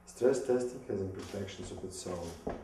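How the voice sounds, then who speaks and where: Man, thirties, Russian accent, mellow tone, halting scripted self-presentation, very echoey distant recording. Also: lowish voice. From Moscow, Russia. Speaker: business school applicant. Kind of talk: rehearsed self-presentation read from notes. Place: small indoor room against plain wall. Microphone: distant camera mic. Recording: echoey room, distant mic, some noise.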